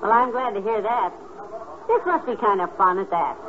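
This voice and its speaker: An actress playing a sensible, good-humored wife in a 1950s radio comedy, with a homey, gently chiding manner. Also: female voice, medium pitch, expressive, moderate pace, lightly acted. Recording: muffled, noisy 1950s radio recording.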